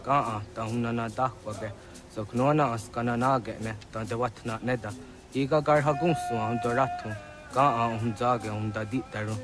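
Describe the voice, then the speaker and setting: A man's voice: mid-range voice, natural voice. A man acting a grave, earnest villager, music behind. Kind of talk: video game cutscene acting.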